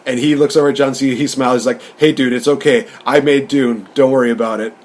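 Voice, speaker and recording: A man's voice: medium pitch, steady tone, fast talker, heavy background noise. A man co-hosting a podcast, talking casually and rambling as he acts out remembered remarks in a review discussion. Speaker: podcast co-host. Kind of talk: casual movie review chat.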